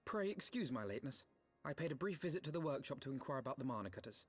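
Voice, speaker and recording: Young refined male diplomat, fast-talking, slightly husky, earnest, formal, game cutscene, faint music. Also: mid-range voice, very animated. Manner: polite, formal archaic speech. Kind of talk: fantasy video game cutscene acting. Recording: game audio with music behind.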